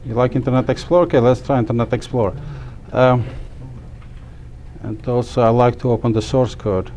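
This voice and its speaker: A middle-aged man from Armenia teaching a class, talking haltingly with many fillers and restarts. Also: mid-range voice, steady tone, mellow, slight background noise. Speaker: instructor. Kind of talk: classroom lecture. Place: small classroom.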